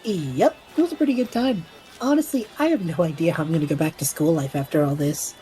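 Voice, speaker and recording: A woman's voice: low-pitched, fast, warm tone, soft background music, close to an everyday voice, rough recording. A woman voicing a cocky, flippant young male student adventurer in an audio drama, breezy, sarcastic and slangy. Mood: casual, flippant.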